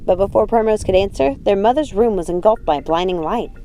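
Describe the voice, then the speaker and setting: A woman reading a story as an audiobook narrator, expressive and suspenseful in a dramatic scripted style. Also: mid-range voice, a little fast, a little hiss or hum.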